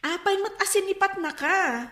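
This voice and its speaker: An actress playing a kindly, persuasive young shop-owning cousin in a radio drama. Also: female voice, extremely high pitch, lively intonation, normal speed, a bit bright. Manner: coaxing, pleading. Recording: echoey room sound.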